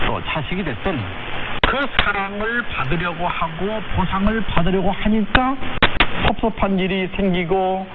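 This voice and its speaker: A man's voice: sits high, racing. A man giving an inspirational talk as a lecturer. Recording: very noisy narrowband shortwave radio.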